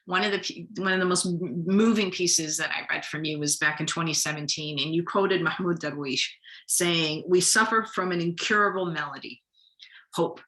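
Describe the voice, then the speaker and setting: Moderator, woman, very low pitch but bright, tense tone, appreciative, a little halting. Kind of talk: moderating a webinar Q&A.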